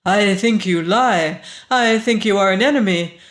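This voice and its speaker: A man's voice: sits high, takes it slowly, a bit bright. A man voicing a proud, powerful young woman in a story, with a commanding, urgent, ringing delivery. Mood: accusing, urgent.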